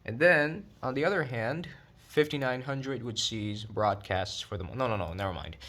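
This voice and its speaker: A man's voice: medium pitch, moderate pace, a bit bright. A man narrating an explanatory online video in a documentary style, with casual, conversational asides.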